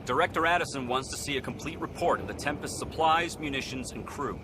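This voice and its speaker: A man's voice: mid-range voice, a little fast, close to an everyday voice. A man playing a harried, dutiful station official in a video game, officious but hesitant. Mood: officious, stern. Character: harried, dutiful male station official, soft-hearted father. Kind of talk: video game cutscene acting. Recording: clear outdoor-like ambient noise.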